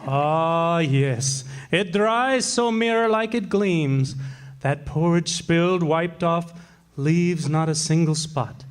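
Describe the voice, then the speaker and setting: Pompous Shakespearean-wannabe pitchman, played by a man; notably high voice, florid theatrical delivery, old-time radio comedy. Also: slow pace, smooth. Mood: grandly declaiming. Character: pompous, hammy male pitchman fancying himself a Shakespearean actor. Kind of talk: 1940s radio comedy acting.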